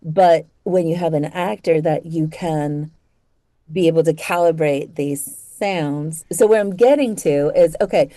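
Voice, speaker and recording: A woman's voice: sits low, measured pace, mellow, no room sound. A woman hosting a conversational interview, thoughtfully building her questions in short, repeated phrases. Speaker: interview host.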